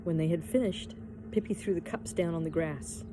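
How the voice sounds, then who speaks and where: A woman's voice: lowish voice, moderate pace, noisy background. A woman narrating a children's story expressively, like a teacher reading aloud.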